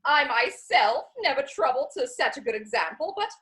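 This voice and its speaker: A woman playing a breezy, gushing high-society socialite in a dramatic reading of a period comedy. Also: animated, moderate pace, a bit bright.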